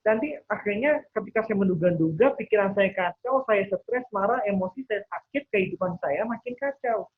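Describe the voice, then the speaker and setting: A man's voice: sits high, lively intonation, moderate pace, few pauses. Man teaching over a phone-like line. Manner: acts out imagined thoughts as examples. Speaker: NLP trainer leading a webinar. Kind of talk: interactive teaching, explaining with checks for understanding. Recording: thin phone-like webinar audio.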